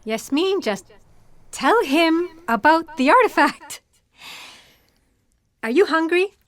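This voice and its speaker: Woman as a young female scientist, high pitch, self-doubting, hesitant, nervy, audio play. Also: expressive, takes it slowly, fairly bright tone, full character voice. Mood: doubtful, inward. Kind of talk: sci-fi audio theatre acting.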